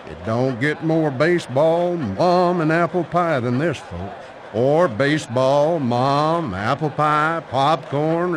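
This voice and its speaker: Folksy game narrator, wry Southern drawl, lively swings, unhurried delivery. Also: male voice, fairly high pitch, noisy background. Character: folksy, wry country storyteller narrating a racing tale.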